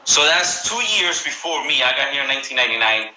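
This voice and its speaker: A man from Colombia with a strong Colombian Spanish accent, hosting a live stream interview in an upbeat way and giving shout-outs. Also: medium pitch, fast, roomy sound, low-quality recording. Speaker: host. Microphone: phone or laptop on a live stream.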